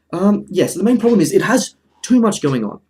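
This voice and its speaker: A man's voice: high voice, very animated, moderate pace, a little mellow. A man hosting a casual video essay, with a dry, jokey manner and sentences he often breaks off and restarts. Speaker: video host.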